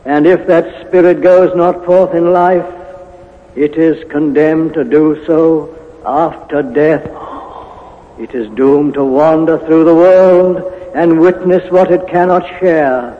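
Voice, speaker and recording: A mournful male ghost, calling out in a raised, solemn voice with long drawn-out words. A cavernous reverb trails each phrase in a muffled 1950s radio drama recording. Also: fairly high pitch, steady tone. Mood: mournful, grave. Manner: slow, solemn, drawn-out declamation. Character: mournful, doomed ghost of a dead business partner.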